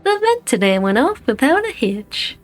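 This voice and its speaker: A woman's voice: high voice, sing-song, normal speed. A woman voicing a composed, wry company director, coolly evasive and defiant, in a game fan dub. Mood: cool, defensive. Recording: music behind the voice.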